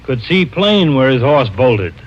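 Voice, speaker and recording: An actor playing a folksy, guarded small-town sheriff, in 1950s radio drama style. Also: male voice, medium pitch, very animated, unhurried. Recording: muffled old radio broadcast recording.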